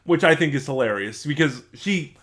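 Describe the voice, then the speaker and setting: A man's voice: a little high, animated, normal speed, a bit bright. A man giving a casual, unscripted review in a rambling, conversational way, correcting himself as he goes. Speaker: reviewer and channel host.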